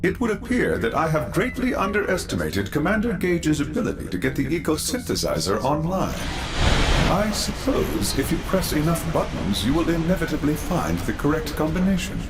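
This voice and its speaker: A man's voice: a little high, very animated, normal speed. An actor playing an eccentric, cerebral scientist father in a video game cutscene, with formal, precise, stilted diction and a coolly curious manner. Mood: dry, disdainful. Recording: loud music under the voice.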